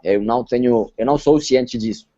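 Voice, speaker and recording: A man's voice: medium pitch, dark tone. Colombian man speaking careful learner Portuguese. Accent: Spanish. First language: Spanish. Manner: halting, repeats words while searching for phrasing. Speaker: interview guest. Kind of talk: casual interview chat. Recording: slightly dull lo-fi sound.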